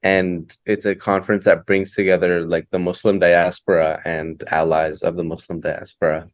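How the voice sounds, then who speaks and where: A reflective young man talks through his answers in a relaxed interview, pausing and restarting his sentences as his thoughts form. His voice sits fairly low. The sound is dry and close, with no room echo. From Hamilton, Ontario, Canada. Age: late 20s. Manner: reflective, thinks aloud with many fillers and restarts. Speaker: guest poet. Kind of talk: conversational interview.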